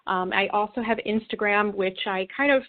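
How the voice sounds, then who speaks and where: A woman's voice: mid-range voice, normal speed. A woman being interviewed, explaining her views earnestly in a conversational way. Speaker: interview guest. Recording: narrow phone-like call line.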